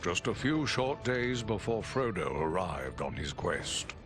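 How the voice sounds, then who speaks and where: A solemn teller of fantasy tales. His low voice swings expressively and unhurriedly through stately narration, with clear music underneath. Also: sing-song, a little slow. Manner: stately, portentous. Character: solemn storyteller narrating an ancient fantasy tale. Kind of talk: scripted epic narration. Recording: orchestral game music behind.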